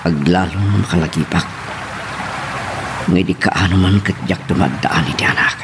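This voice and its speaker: A man's voice: deep voice, moderate pace, natural voice. A man playing a brooding, remorseful character in a somber, reflective radio drama monologue. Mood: somber, regretful. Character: brooding, remorseful man narrating his own story. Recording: noisy storm-like ambience behind voice.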